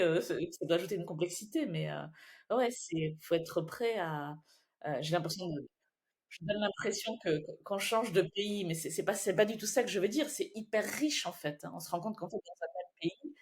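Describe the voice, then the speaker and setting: Low-voiced woman hosting a casual podcast. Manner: relaxed and conversational, many quick agreeing replies. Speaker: podcast host. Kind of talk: casual chat.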